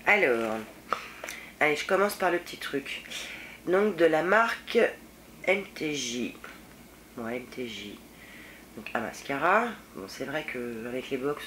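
A woman in her thirties with a head cold, sounding slightly husky and nasal, chatting casually as she unboxes beauty products at home, rambling with lots of fillers. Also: deep voice, background noise. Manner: chatty and rambling, many fillers, self-mocking. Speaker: beauty vlogger. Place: home room with shelves of nail polish. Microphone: fixed camera at desk distance.

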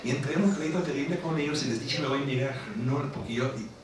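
A man's voice: medium pitch, quick pace, crowd noise. Middle-aged German-accented man, author on a panel, chatty anecdotes, distant hall recording. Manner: animated storytelling, laughing, frequent tag questions. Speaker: author at a book presentation. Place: large lecture hall. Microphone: table microphone on stand. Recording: echoey hall from far off with noise.

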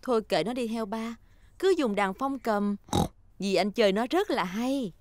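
Gentle, high-voiced cartoon mummy pig played by a woman. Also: measured pace, no echo, natural voice. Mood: encouraging. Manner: soothing, encouraging. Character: gentle, encouraging mother pig in a children's cartoon. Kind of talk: children's cartoon dub.

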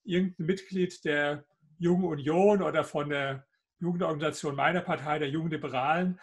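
An older man with a mild Hessian lilt answers questions over a video call from his home office. His voice sits fairly high for a man, with a gravelly, husky edge. He pauses often on fillers as he builds a pointed argument. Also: steady tone. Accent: Hessian German. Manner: hesitant, many fillers, argumentative. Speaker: guest author. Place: home office with bookshelves, indoors.

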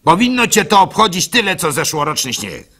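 A man's voice: high-pitched, big pitch swings, fairly quick, bright, forceful tone, breathy edge, pitched above the performer's own voice, full character voice. A man voicing a good-hearted young village apprentice in a radio play, chiding and emphatic.

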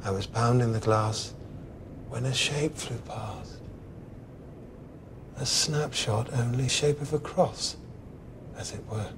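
A man reading a dramatic poem in clipped, intense fragments, his delivery often hushed. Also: mid-range voice, slow, long pauses, background noise. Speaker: reader. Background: music.

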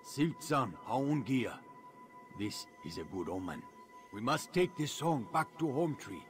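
A man's voice: mid-range voice, big pitch swings, measured pace, faint music behind. A man playing a wise, grave alien clan leader in a video game, making solemn, formal pronouncements. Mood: solemn, approving.

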